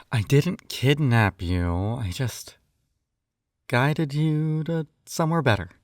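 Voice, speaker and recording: Slow talking guy doing a clingy possessive captor roleplay, sweet coaxing but kinda condescending, super dry audio. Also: mid-range voice, no room sound. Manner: coaxing, doting, slightly condescending, often sighing. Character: possessive, coaxing male yandere captor and hypnotist. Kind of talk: intimate scripted roleplay acting.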